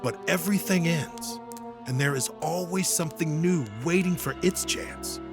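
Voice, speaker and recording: A man playing a weary, middle-aged retired hero in a fantasy audio drama, earnest and solemn in his measured speeches. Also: on the high side, over music, natural voice. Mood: earnest, challenging.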